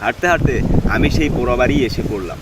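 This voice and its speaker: Cartoon horror story lead: a man as an unafraid village vet narrating his own tale, medium pitch, heavy rain behind. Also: expressive, fast talker, natural voice. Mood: tense, eerie. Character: village cattle doctor telling his eerie tale, unafraid. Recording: constant rain and wind noise behind.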